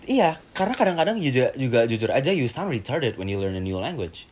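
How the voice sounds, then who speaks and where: A man's voice: mid-range voice, very animated, fast, darkish tone, slightly rough. A young man from Indonesia, chatting as a podcast guest in a blunt, emphatic and encouraging way. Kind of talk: casual podcast chat.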